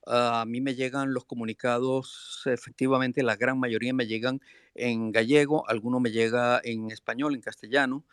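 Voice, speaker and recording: A man on a video call who speaks with a returned emigrant's accent, talking in a measured way with many pauses. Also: medium pitch, clear, resonant tone, dry recording, studio quality. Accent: non-Galician, from a returned emigrant. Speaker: panelist. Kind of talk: online panel discussion.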